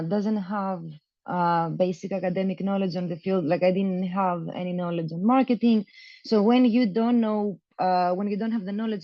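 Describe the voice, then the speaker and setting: A woman's voice: medium pitch, dry recording, highs cut off. Young woman, hesitant interview answers. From Greece. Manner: loose and hesitant, many fillers and restarts. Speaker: program graduate guest.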